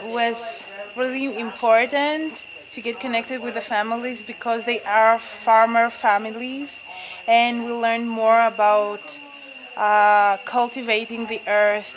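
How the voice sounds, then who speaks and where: A woman from Greece reflects on her course at an unhurried pace. Her voice sits a little high. Outdoor noise runs clearly underneath. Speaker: student. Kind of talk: interview to camera.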